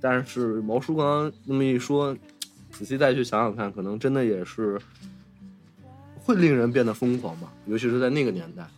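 Guy on a film podcast, mid pitch, chatty n into it, repeats himself, bit of music behind. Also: a little fast. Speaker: podcast host. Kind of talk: casual film discussion.

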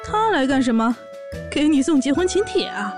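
Woman playing brash, high-voiced orc warrior big sister. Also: sing-song, normal speed, over music. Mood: scolding, teasing. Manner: sassy, blunt, bossy. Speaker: voice actor in a fan audio drama. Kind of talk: audio drama acting and narration.

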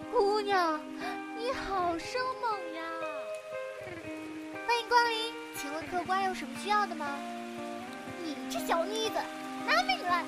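A woman's voice: very high voice, sing-song, slow pace, heavily acted. A woman playing a playful, girlish young shop helper in an audio drama, cutesy and whiny. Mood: perky. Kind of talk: Chinese audio drama acting. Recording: music behind the voice.

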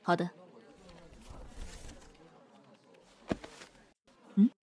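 A woman's voice: fast, dark tone, in a large hall, slight background noise, natural voice. An actress playing a self-assured female finance director in an audio drama, curt, composed and businesslike. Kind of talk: Chinese audio drama acting.

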